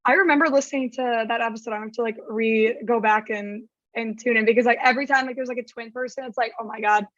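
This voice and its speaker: A raspy-voiced young woman talks in a loose, rambling way, packing her sentences with fillers. Her voice sits fairly high. The sound is dry and slightly muffled. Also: fairly even intonation, quick pace, hardly any pauses, a bit bright, no room sound. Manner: chatty, heavy use of "like". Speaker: podcast guest. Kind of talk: casual chat.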